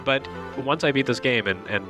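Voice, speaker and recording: A man's voice: mid-range voice, normal speed. A man giving casual, joking commentary on video game cutscenes in a wry manner, as a critic and co-host. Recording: loud music behind the voice. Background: video game music.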